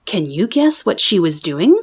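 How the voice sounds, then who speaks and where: A woman reading a children's book aloud as an expressive storyteller. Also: lowish voice, unhurried, breathy edge. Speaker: storybook reader. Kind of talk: children's read-aloud narration.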